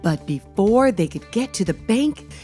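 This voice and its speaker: A woman hosting a children's read-aloud, narrating in an expressive, deliberate way as she addresses young listeners. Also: mid-range voice, slightly rough, faint music behind. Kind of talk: expressive children's storybook reading.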